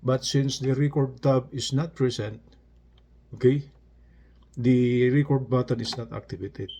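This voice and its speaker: A man giving an informal hands-on tutorial as an instructor, speaking haltingly and repeating himself step by step. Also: mid-range voice, steady tone, creaky, dark tone, slightly rough. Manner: halting, repetitive, explains step by step. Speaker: instructor demonstrating a device.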